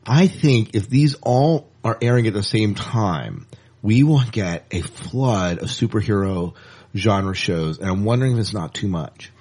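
Husky, animated low-voiced man. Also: unhurried. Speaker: podcast co-host. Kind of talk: casual entertainment news chat.

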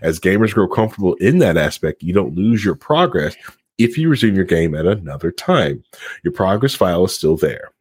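A man in a casual podcast chat as a co-host, talking in a halting way and often repeating words and phrases. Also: sits low, very animated, breathy edge, no echo, studio quality.

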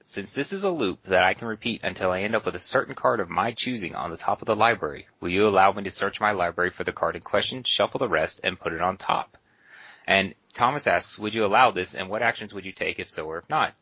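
A man co-hosting a podcast, jokey and laughing often as he reads listener letters aloud, with a husky voice. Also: low-pitched, fast talker, bright, forceful tone, dry recording, muffled recording. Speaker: podcast co-host reading listener mail. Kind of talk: casual podcast chat.

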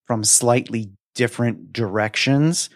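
A man in casual podcast conversation as a co-host, measured and thoughtful. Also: medium pitch, no room sound, clean studio sound.

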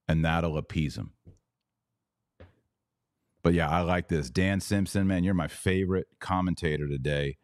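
A man with a very low voice hosts a casual podcast. He talks in a sarcastic, animated way. The sound is dry and close, with no room in it. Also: dry recording. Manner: animated, sarcastic, jumps to high incredulous exclamations; slow deliberate Latin prayer recitation. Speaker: podcast host.

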